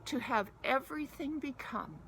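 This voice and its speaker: A woman reciting a poem in a measured, deliberate way, with pauses and softly breathed phrase endings. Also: high-pitched, a little hiss or hum. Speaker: poetry reader. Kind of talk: slow, measured spoken-word poem recital.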